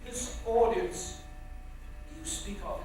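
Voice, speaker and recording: An actor playing a reluctant, haunted older gentleman, formal, earnest and halting, on a theatre stage. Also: high-pitched, faint music behind. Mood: hesitant. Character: reluctant, haunted elderly gentleman, formerly a lawyer. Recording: echoey theatre, far mic, faint noise.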